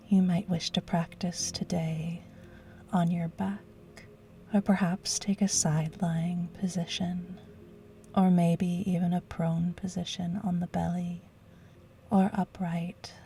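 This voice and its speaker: Soft mellow woman doing guided meditation, really slow n breathy, faint music behind. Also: fairly low pitch. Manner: soothing, gentle, breathy hushed delivery. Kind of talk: guided yoga nidra relaxation.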